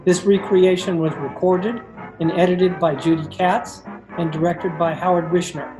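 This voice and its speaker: A man's voice: high-pitched, little pitch movement, a little slow, poor audio. A man reading a scripted closing credits announcement as the show's announcer. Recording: crowd noise and music behind.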